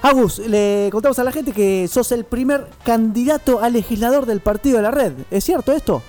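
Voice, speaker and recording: A man's voice: extremely high pitch, moderate pace, a little mellow, soft background music. A man with an Argentine accent interviewing casually on the radio, informal and thinking aloud as he rephrases. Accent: Rioplatense Argentine.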